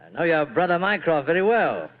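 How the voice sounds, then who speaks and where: An actor playing an affable, self-important older British Shakespearean player in an old radio drama, with a hearty theatrical manner. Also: male voice, a little high, big pitch swings, brisk. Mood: gracious. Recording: narrow tinny old radio recording.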